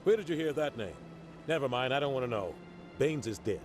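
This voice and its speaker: Veteran captain, played by a man, measured and guarded, music behind. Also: medium pitch, expressive, natural voice. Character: seasoned male naval captain, guarded. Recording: game audio with music.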